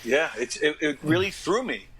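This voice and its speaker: A middle-aged man telling casual anecdotes as an interview guest, acting out what people said and often clearing his throat. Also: a little high, normal speed, slight background noise. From New York area, USA. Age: late 40s to 50s. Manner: animated retelling with acted-out quotes and frequent throat-clearing. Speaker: podcast guest, actor and stand-up comic.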